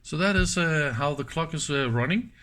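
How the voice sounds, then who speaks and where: A man presenting a casual hands-on demonstration, speaking in halting pieces and often checking in with short questions. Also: on the high side, lively intonation, bright, forceful tone, slight background noise. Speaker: presenter of electronics teardown videos.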